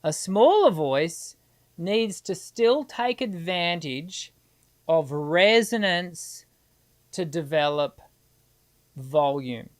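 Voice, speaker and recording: A woman's voice: on the low side, expressive, drawn-out words. A middle-aged woman, hosting a live singing Q&A and answering viewers in a chatty, encouraging way. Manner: chatty and encouraging, many restarts and filler ums.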